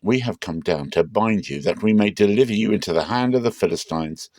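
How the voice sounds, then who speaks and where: A man's voice: on the low side, animated, a little fast, darkish tone, no room sound, studio quality, voice pushed up, natural voice. Man voicing an urgent spokesman for tribesmen. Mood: firm. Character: anxious, urgent delegation of men of Judah.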